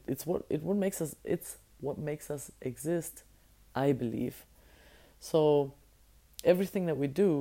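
A woman with a naturally deep voice that is hoarse and strained, musing aloud in a casual solo podcast and often restarting her sentences. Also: mellow. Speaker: podcast host.

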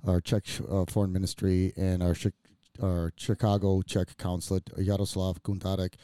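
A man's voice: sits low, completely flat delivery, normal speed, warm tone, clear, resonant tone, no room sound, studio quality. A man hosting a casual podcast chat, joking around and rambling with lots of fillers and restarts. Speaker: podcast host. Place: studio.

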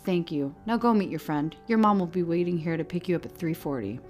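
A woman voicing an affectionate, teasing father in a story, warm and playfully insistent. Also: fairly low pitch, brisk, faint music behind, close to an everyday voice. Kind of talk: storybook character dialogue.

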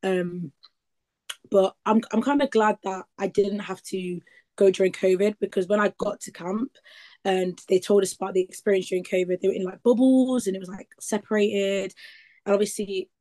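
Twenties woman, casual rambling guest, mid-pitched voice. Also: steady tone, normal speed, mellow. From East Midlands, England. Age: 20s. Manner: chatty, rambling with many likes and ums. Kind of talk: casual interview chat.

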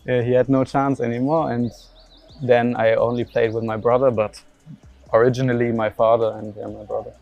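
A man's voice: mid-range voice, level tone, normal speed, creaky, muffled recording. A young man from Germany answering interview questions about his football career, hesitant, with many fillers and restarts. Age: young adult.

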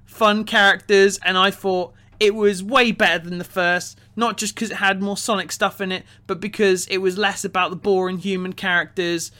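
A man with a notably high, bright voice hosts a casual film discussion. He starts sentences haltingly, often doubling back over his words. The delivery is conversational and forward in tone. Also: flat delivery. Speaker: podcast host. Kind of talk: casual film review chat.

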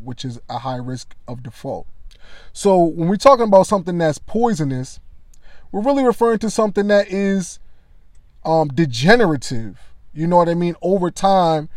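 A man with a naturally loud, slightly high voice talks through his views on sports media in a relaxed, conversational way. He keeps checking in with the listener as he goes. The sound is close and dry, with no room around it. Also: no room sound. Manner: conversational, frequent "you know what I mean". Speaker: commentator. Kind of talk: casual sports media commentary.

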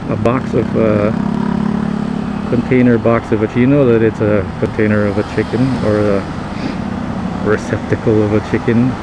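A fairly low-voiced man talks to himself on his motorcycle, thinking aloud in loose, halting English full of fillers and restarts. His helmet mic catches him with loud road and traffic noise roaring behind him. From Philippines. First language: Tagalog. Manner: rambling, halting, reflective, many fillers and restarts. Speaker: podcast host. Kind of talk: casual spoken monologue while riding. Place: on a motorcycle in city traffic, outdoors. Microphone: helmet-mounted mic.

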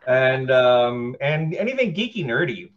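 A man's voice: medium pitch, very animated, measured pace. Cheeky man, dull sound, crowd behind. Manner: cheeky, teasing, laughs a lot. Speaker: podcast co-host. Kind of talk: casual chat.

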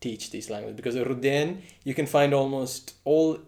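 Young guy doing a casual interview, normal pitch, curious, talks his questions through. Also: moderate pace, darkish tone.

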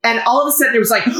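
A woman in her fifties, a guest in an interview, talking loudly and with enthusiasm. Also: high voice, reverberant. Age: 50s. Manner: loud, emphatic, enthusiastic. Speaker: guest, founder of a women's community. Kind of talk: conversational interview.

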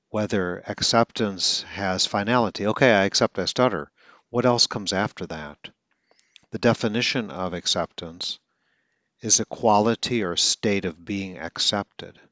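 A man's voice: low voice, a bit bright, no echo. A man from Atlanta, Georgia, speaking as a speech coach in a casual, earnest way, with occasional stutters and self-corrections. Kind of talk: casual talk.

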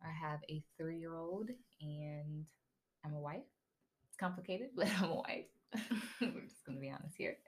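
A woman with a somewhat low voice co-hosts a relaxed podcast among friends. She speaks in an easygoing way and often chimes in to agree. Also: a little slow. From born in Iowa, raised in Dallas. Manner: easygoing, frequent agreeing interjections. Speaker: podcast co-host, model. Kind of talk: casual chat among friends.

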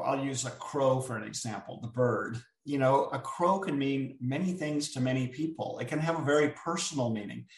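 A man's voice: a little high, darkish tone. Thoughtful man in a roomy, distant recording. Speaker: author guest. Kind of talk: reflective storytelling chat. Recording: roomy sound, distant from the mic.

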